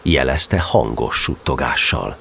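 A man narrating a children's story from a script in an expressive storytelling manner. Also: extremely low pitch, vocal fry, slight background noise.

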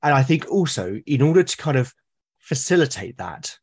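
Husky British man, earnest and halting. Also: medium pitch, sing-song, a little mellow. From Britain. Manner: earnest, halting, repeats words. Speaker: podcast guest. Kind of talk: conversational interview.

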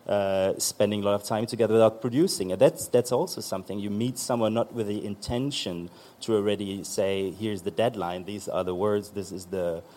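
A man's voice: on the low side, a little fast, hardly any pauses, mellow. A man, a magazine founder on a panel, talking loosely and searchingly with many fillers. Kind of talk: conversational panel talk.

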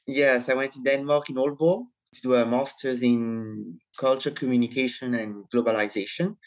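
A man born in Italy, speaking as an interview guest in a measured, reflective way. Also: mid-range voice, steady tone, poor audio. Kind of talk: interview conversation.

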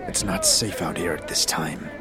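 A man playing a stern, solemn and measured warrior companion in a fantasy audio drama. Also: low-pitched, wildly swooping pitch, over a noisy crowd, heavy background noise. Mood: stern, watchful.

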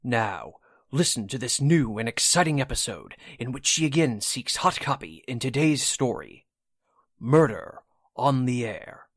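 A man performing as a theatrical 1940s radio drama announcer, with emphatic, measured, scripted phrasing. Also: mid-range voice, big pitch swings, no room sound, clean studio sound. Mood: dramatic, inviting. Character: dramatic old-time radio show announcer.

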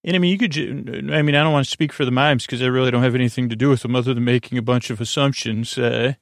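A man hosting a bedtime podcast, talking in a meandering, halting way with stammers and tangents, meant to soothe. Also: mid-range voice, fairly even intonation, vocal fry, dry recording, clean studio sound. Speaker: podcast host.

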